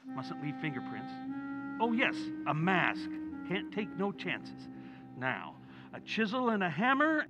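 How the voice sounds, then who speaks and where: Casting: male actor as bumbling middle-aged American dad for vintage radio comedy, high voice swooping anxiously, flustered pleading, slightly dull recording. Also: huge pitch swings, a little slow, loud music behind the voice, heavily acted. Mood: furtive, gloomy. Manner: flustered, pleading, colloquial working-class talk. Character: bumbling, guilt-ridden middle-aged American husband and father. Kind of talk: old-time radio comedy acting.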